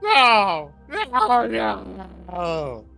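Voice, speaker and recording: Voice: medium pitch, sing-song, extremely slow, gravelly, bright, forceful tone, soft background music, voice pushed up, exaggerated voice. A reader voicing a panicked, pleading male captive in a horror visual novel, exclaiming desperately.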